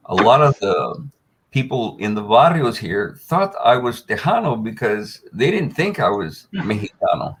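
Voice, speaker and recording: An older man, telling a long personal story as a guest on a panel, with many fillers and restarts. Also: mid-range voice. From United States.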